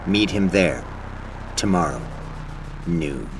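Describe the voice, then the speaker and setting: A man playing a mysterious, cautious stranger in an audio drama, measured and guarded. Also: sits low, animated, music in the background. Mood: guarded, urgent. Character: mysterious, cautious male stranger bearing a message.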